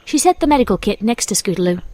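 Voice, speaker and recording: A woman's voice: mid-range voice, animated, fast talker, dry recording. A woman narrator reading a scripted story in storybook narration style. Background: soft music.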